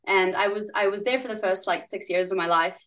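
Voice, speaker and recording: A woman's voice: medium pitch, fairly quick, a bit bright. A young woman from New Zealand, a musician guest chatting casually in an interview, full of "like" fillers and giggles.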